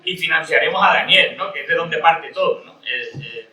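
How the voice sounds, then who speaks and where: A man's voice: fairly high pitch, expressive, normal speed, pushed and bright, a little hiss or hum. A man from Cádiz speaking on a panel, rambling with many restarts and rhetorical questions. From Cádiz, Spain. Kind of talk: panel discussion. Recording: echoey room from a distance.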